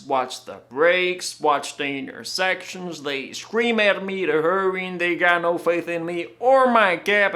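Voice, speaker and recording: Stage monologue: male actor as exasperated working-class cabbie, folksy and emphatic, raised pitch, forceful tone. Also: heavily acted. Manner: folksy, emphatic, repeating words. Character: fed-up veteran cab driver, working-class, exasperated, loud.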